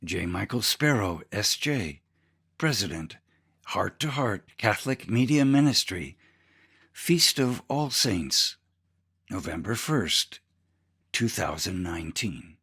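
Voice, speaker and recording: A man's voice: fairly low pitch, big pitch swings, takes it slowly, a bit bright, breathy edge. A man, a priest, reading a scripted audiobook narration.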